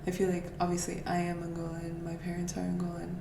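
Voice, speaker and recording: A woman's voice: lowish voice, completely flat delivery, fast, creaky, music in the background. A woman from Portugal hosting a podcast interview, speaking reflectively and thinking aloud. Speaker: podcast host. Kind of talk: casual interview conversation. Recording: roomy, distant, noisy recording.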